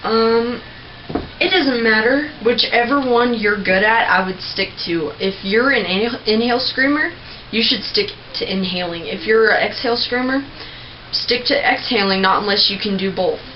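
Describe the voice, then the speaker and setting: A young woman giving a casual, rambling tutorial on screaming technique, clearing her throat often. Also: a little high, normal speed. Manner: chatty, rambling, with many filler words and throat clearing. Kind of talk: casual home tutorial video. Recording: dull lo-fi home recording with faint noise.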